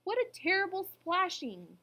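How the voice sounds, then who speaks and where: A woman's voice: high voice, big pitch swings, moderate pace, voice pushed up, rough recording. A woman voicing a big, kind, protective storybook elephant, earnest, anxious and pleading. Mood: worried, resolute.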